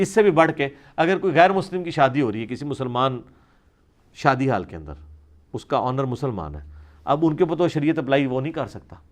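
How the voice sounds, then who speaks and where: Man giving an explanatory religious talk. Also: medium pitch. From South Asia. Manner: explanatory, conversational, reasoning through examples. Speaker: Islamic scholar answering questions. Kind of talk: informal religious question-and-answer lecture.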